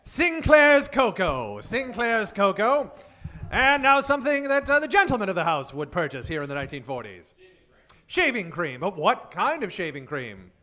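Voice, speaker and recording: A man with a very high, animated voice plays emcee in 1940s radio style. He projects like a showman from a theater stage, improvising his patter as host of a live comedy show. The tone is bright and forceful. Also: normal speed.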